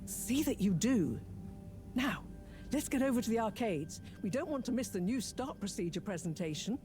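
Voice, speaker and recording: A woman's voice: a little high, lively intonation, moderate pace, mellow, soft background music, lightly acted. An actress playing a proud, exacting female professor who speaks commandingly. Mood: haughty. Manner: haughty, commanding.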